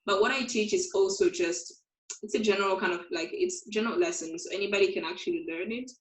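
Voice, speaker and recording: A woman's voice: medium pitch, quick pace, echoey room, rough recording. A woman from Congo, a guest language teacher, talking casually on a video call, hesitant and often repeating her words.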